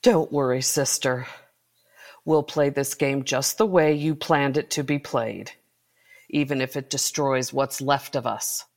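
An actress playing a menacing, vengeful sister in a gothic horror melodrama, speaking in a theatrical, deliberate way. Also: female voice, very deep voice. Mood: ominous resolve. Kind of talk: melodramatic audio drama acting.

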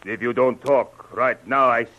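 An actor playing a threatening renegade chief in a radio adventure serial, speaking clipped broken English. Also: male voice, medium pitch, steady tone, unhurried, bright, forceful tone. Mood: slow menace. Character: menacing renegade chief, male villain with knife. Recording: dull lo-fi old radio recording.

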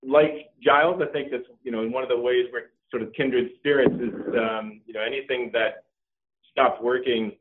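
A middle-aged man, a company co-founder, talking casually in an interview with a relaxed manner and many ums and restarts. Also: mid-range voice, moderate pace. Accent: Californian. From Norway. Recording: tinny phone-like line.

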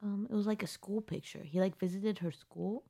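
A woman's voice: medium pitch, steady tone, normal speed, dark tone. A young woman co-hosting a podcast, chatting casually in a rambling, self-deprecating way. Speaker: podcast co-host. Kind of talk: casual chat.

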